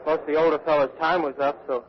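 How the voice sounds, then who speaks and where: An actor playing a shy eighteen-year-old soldier, hesitant and quiet, in a 1940s radio drama. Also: male voice, fairly high pitch, steady tone, fast, lightly acted. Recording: dull, noisy vintage radio broadcast.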